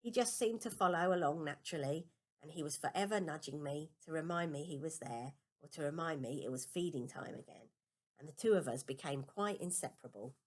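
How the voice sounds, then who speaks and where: A woman's voice: sits low, fairly quick. A woman reading a children's novel aloud as a first-person storybook narration. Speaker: storybook reader.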